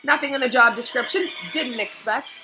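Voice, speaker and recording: A woman's voice: high voice, moderate pace, over music, voice pushed up, close to an everyday voice, rough recording. A woman voicing a vain, evasive middle-aged male wizard professor in a storybook, pompous and blustering, with hesitant hemming.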